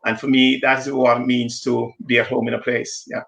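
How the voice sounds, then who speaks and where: Gravelly-voiced man from Jamaica, speaking thoughtfully. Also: medium pitch, little pitch movement, a bit bright, roomy sound. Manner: thoughtful, halting with many restarts. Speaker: diversity consultant guest. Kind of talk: conversational live-stream discussion.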